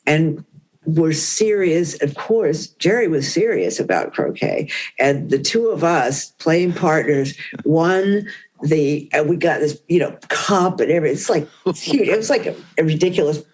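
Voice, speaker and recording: An older woman talks as a guest in a relaxed conversation. She tells fond stories in a loose, rambling way, circling back with "you know" as she goes. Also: deep voice, measured pace, husky. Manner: chatty, fond storytelling with many "you know"s. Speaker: guest, widow of a puppeteer. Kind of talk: casual reminiscing conversation.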